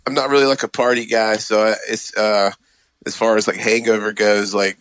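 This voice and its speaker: A man's voice: fairly low pitch, little pitch movement, fairly quick, a bit bright, clean tone, dry recording. A man from South Carolina, a guest musician, talking in a laid-back way with a stuffy nose from a cold. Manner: laid-back, lots of "like" and laughs. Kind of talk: casual interview chat.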